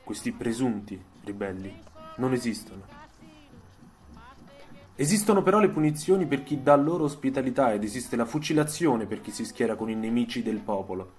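A man narrating a scripted story for radio, in measured phrases with dramatic pauses. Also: mid-range voice, lively intonation, a little mellow. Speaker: storyteller and author. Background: soundtrack music.

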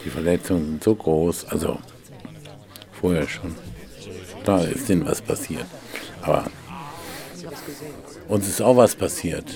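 An actor playing a reflective, detached bystander in naturalistic radio drama, talking in a halting, resigned, conversational way. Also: male voice, low-pitched, a crowd in the background. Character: reflective, detached male bystander watching others fight.

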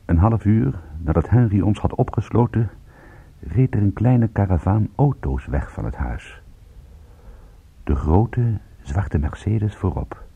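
An actor playing a world-weary, sardonic private detective with a husky voice in a radio play. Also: male voice, on the low side, expressive, unhurried, narrow, tinny audio, heavily acted. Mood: brooding narration. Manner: wry, sardonic, laconic. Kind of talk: radio drama acting with first-person narration.

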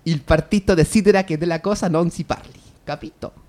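An actor in an Italian-language radio drama playing an evasive, deferential police inspector who reports in a guarded, halting way. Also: medium pitch, mellow, close to an everyday voice. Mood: confidential, evasive.

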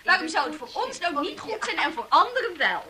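An actress playing a bold, modern-minded young woman in a radio play sketch, brisk and persuasive. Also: very high voice, very animated, bright, forceful tone, full character voice. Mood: coaxing.

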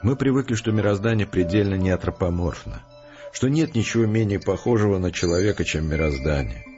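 A resolute, philosophical scientist with a low voice, reasoning patiently and deliberately. The audio drama recording is slightly muffled, with prominent music behind him. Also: male voice, lively intonation, a little mellow. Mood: earnest, reasoning. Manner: deliberate, explanatory, earnest.

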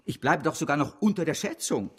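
A man's voice: on the high side, expressive, fairly quick, a bit bright, a little hiss or hum. An actor playing an ambitious, persuasive male financier in a German radio drama, speaking with grand emphasis. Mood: impatient, excited.

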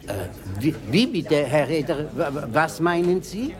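A courteous male ship's officer, with a fairly high voice and lively pitch swings. He speaks in formal phrases in a radio play, amid a crowd. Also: unhurried. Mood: puzzled. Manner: polite, clipped official phrases. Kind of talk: 1970s radio play acting.

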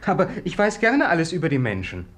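A man's voice: a little high, wildly swooping pitch, fast, fairly bright tone. An eccentric young male guest in an old radio play. He is effusive and affected, prying into everything with gushing curiosity. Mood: prying, whimsical. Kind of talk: 1950s German-language radio play acting.

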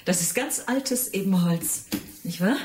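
An actress playing a persistent, exasperated visitor in a radio play, speaking in an insistent, conversational way. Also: female voice, mid-range voice, expressive, measured pace, darkish tone, a little hiss or hum, lightly acted. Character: persistent, exasperated female visitor seeking a friend. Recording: roomy, echoing interior.

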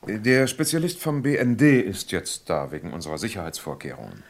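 A man's voice: fairly low pitch, sing-song, a little fast, vocal fry, heavily acted. A man playing a formal, dutiful private secretary in a 1970s radio drama, with a deferential manner.

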